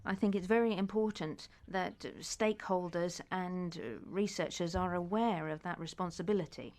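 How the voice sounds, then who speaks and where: A woman interpreting a conference speech into English, measured and often pausing with hesitations. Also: lowish voice, darkish tone.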